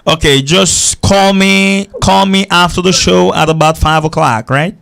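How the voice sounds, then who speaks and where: A man's voice: high voice, a little slow, creaky, fairly bright tone. A man from Haiti with an American-sounding accent, teaching English as host of a live call-in show, repeating himself and checking understanding with tag questions.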